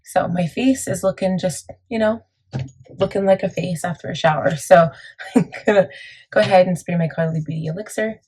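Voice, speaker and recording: A woman with a gravelly morning voice, chatting casually through a makeup tutorial with lots of fillers. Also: normal speed, darkish tone. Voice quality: gravelly, deep. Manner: chatty, rambling, many fillers. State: morning voice, a bit crackly. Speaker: beauty vlogger.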